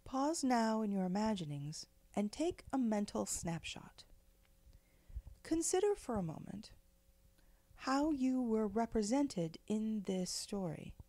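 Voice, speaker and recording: A woman leading a guided meditation in a gentle, measured, soothing way, with pauses between phrases. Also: a little high, sing-song, dry recording. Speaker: guided meditation leader, spiritual director.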